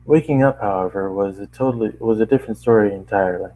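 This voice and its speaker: A low-voiced man narrates a story on a slightly muffled, lo-fi microphone. He reads as an amateur, stumbling over phrases and going back to repeat them. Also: fast. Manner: stumbles over lines and rereads them, apologising. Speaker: amateur fiction reader and narrator. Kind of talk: reading a first-person fan story aloud.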